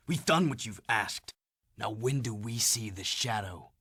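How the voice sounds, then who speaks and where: Young rebel game hero: tough, brooding, lowish and rough-edged, sardonic and blunt. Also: male voice, expressive, measured pace, sharp tone, no echo, heavily acted. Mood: dismissive. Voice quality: husky, low. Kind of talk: video game cutscene acting.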